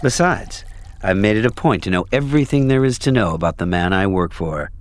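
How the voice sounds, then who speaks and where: A man playing a smug, smooth-talking corporate executive in an audio drama, formal and self-assured. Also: on the low side, animated, fairly quick, dry recording, close to an everyday voice. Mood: smug, pointed.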